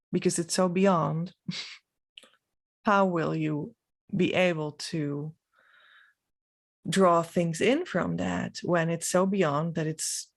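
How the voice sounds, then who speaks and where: A woman from the Netherlands, a native Dutch speaker with a Dutch accent, giving a reflective, unscripted vlog monologue with halting, trailing phrases. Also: lowish voice, gravelly. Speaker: spiritual vlogger.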